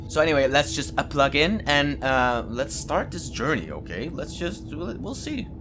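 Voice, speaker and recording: A man's voice: on the high side, sing-song, moderate pace, over music. A man who is not a native English speaker, hosting a let's-play with informal, chatty commentary, jokey asides and some reading aloud. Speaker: gaming video host.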